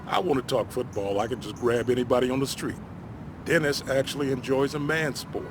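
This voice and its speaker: A world-weary American ex-miner played by a man in a story game, middle-aged and plain-spoken. He talks in a folksy, wry, friendly way at a medium pitch. There is clear outdoor noise behind him. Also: steady tone, a little fast, close to an everyday voice. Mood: weary, wistful. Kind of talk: naturalistic video game acting.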